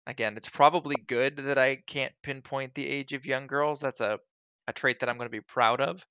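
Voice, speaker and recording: Excitable male co-host, punchy bright tone, loud outbursts when quoting, dry recording. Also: mid-range voice, normal speed, smooth, dry recording, muffled recording. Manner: excitable, acts out quotes with big swings in loudness. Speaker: podcast co-host. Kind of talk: casual chat.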